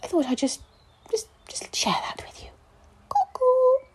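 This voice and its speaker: A woman playing a croaky, prim old schoolmistress. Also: huge pitch swings, a little slow, heavily acted. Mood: dotty. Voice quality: croaky, theatrical. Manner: swooping pitch, whispered asides. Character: fussy elderly female poetry teacher, dotty and prim.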